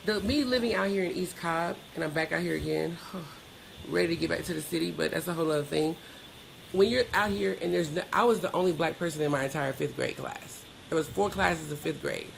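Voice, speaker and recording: A woman's voice: sits low, a little fast, creaky, slight background noise, poor audio. A middle-aged American woman telling personal stories candidly as an interview guest, with emphatic, colloquial delivery. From Georgia, United States.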